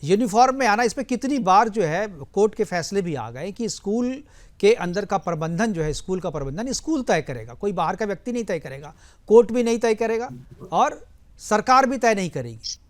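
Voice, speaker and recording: A man's voice: high-pitched, lively intonation, moderate pace. A man hosting a heated television news debate, insistent and often cutting in over others. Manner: insistent, interrupts guests and talks over them. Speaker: TV debate host.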